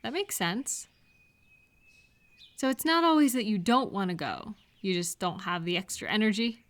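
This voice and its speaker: A woman acting a caring, cheerful friend in a scripted roleplay audio, talking gently and attentively to the listener. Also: mid-range voice, expressive, lightly acted. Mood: gentle, concerned. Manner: gentle, unhurried, attentive questioning with pauses for replies.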